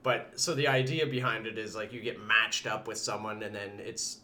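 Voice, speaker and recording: A man's voice: on the low side, normal speed, sharp tone. Enthusiastic man telling a story. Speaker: podcast guest. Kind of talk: casual chat.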